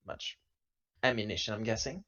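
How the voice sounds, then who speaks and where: A man's voice: fairly low pitch, normal speed, fairly bright tone. Man playing an earnest spacefaring crewwoman, muffled lo-fi sound. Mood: uneasy briefing. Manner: hesitant, sighing, explanatory. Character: earnest, defensive female crew member of a spaceship. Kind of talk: improvised sci-fi roleplay. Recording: dull, lo-fi laptop audio.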